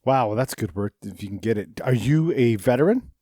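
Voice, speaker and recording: A man hosting a podcast interview, asking questions in a relaxed, chatty way and laughing easily. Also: medium pitch, a little fast, no room sound, clean studio sound. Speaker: podcast host. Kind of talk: casual interview chat.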